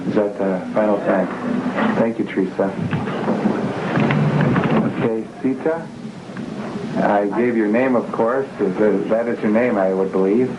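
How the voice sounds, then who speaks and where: Man, interviewer, measured questions, echoey room, dull lo-fi tape. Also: fairly low pitch. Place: room inside a residential compound building. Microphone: camcorder microphone. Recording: echoey room on old lo-fi videotape with hiss.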